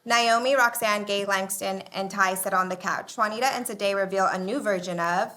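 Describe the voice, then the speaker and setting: A woman's voice: mid-range voice, steady tone, normal speed, bright, forceful tone. A woman reading scripted stage directions as a narrator, recorded live on a slightly noisy microphone.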